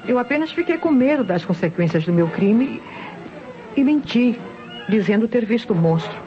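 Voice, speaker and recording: An actress with a Brazilian accent playing a distraught wife confessing to a killing, halting and pleading in old radio drama style. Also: female voice, fairly high pitch, expressive, mellow, faint music behind, natural voice. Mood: anguished confession. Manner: halting, pleading, repeats words when faltering. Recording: dull, noisy old radio recording.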